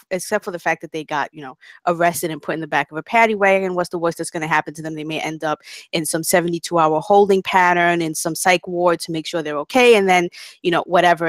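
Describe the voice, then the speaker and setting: Blunt, lowish-voiced woman, dry recording. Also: dry recording. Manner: blunt, irreverent joking. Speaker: script writer and panelist. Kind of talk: casual critique.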